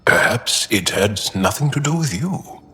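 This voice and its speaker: Slow deep alien warrior from a game, earnest but guarded, halting, kinda echoey. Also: male voice, expressive, bright, forceful tone. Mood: wry, reserved. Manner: halting, thoughtful, measured delivery. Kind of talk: video game dialogue acting. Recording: reverberant room with music behind.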